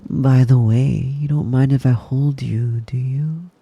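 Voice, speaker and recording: A man playing a possessive, doting young wolf-boy lover in intimate ASMR roleplay, coaxing and teasing in a breathy, husky voice that often drops to a whisper. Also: mid-range voice, steady tone, mellow, no echo, muffled recording. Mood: teasing, tender. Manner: slow, coaxing, teasing endearments.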